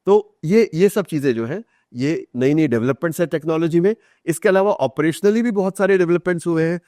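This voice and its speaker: A man's voice: a little high, fairly quick, dark tone, dry recording. Articulate Indian man, dry close sound. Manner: articulate, emphatic repetition, Hindi mixed with English terms. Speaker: author guest. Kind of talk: podcast interview, explanatory talk.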